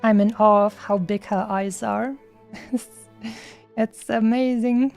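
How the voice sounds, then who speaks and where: Female streamer, soft mellow tone, higher pitch, casual rambling commentary, game music behind. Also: fairly even intonation, slow, gravelly.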